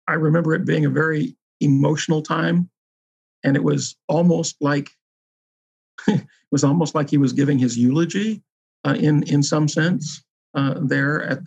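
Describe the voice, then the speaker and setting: A man reflects on past events in an interview. His voice is slightly high, with heavy creak and a husky rasp. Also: rough recording. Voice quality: gravelly, husky.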